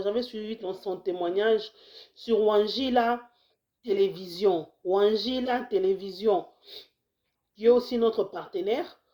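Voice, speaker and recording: A Congolese woman who has a cold, talking casually to her viewers with many restarts and urging them to share her video. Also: medium pitch. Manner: warm exhortations, frequent restarts, mixes in Lingala phrases. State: slightly hoarse with a cold. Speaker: health video host. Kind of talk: casual talk to camera. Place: lives in the United States.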